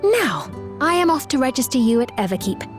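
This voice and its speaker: A woman playing a gracious, courtly queen in a video game, speaking formally and with measured composure. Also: high-pitched, big pitch swings, faint music behind. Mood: composed, regal. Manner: formal, measured, regal diction. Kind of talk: scripted video game cutscene acting.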